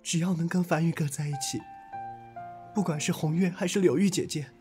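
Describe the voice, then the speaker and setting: Devoted, submissive youth, played by a man, lightish high voice, earnest radio drama delivery. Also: brisk, over music, lightly acted. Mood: sad, tender. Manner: earnest, submissive, slightly old-fashioned wording. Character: gentle, pleading, devoted young man from another era.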